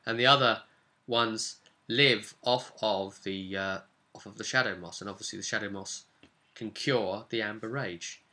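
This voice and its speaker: A man's voice: on the low side, moderate pace, pushed and bright. A man telling a casual recap as a game master, stressing key words and trailing off into conversational asides. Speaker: game master recapping his tabletop role-playing campaign. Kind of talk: casual recap storytelling to camera.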